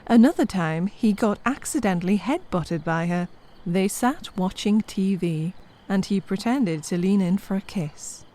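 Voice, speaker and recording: A woman's voice: mid-range voice, animated, a little slow, mellow. A woman narrating a scripted comic story with wry, conversational asides to the listener.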